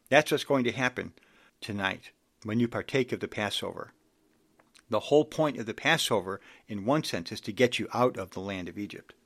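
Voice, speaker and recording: A man's voice: lowish voice, gravelly, fairly bright tone. A man in his sixties preaching an earnest sermon, reading scripture aloud and exhorting his listeners. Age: 60s.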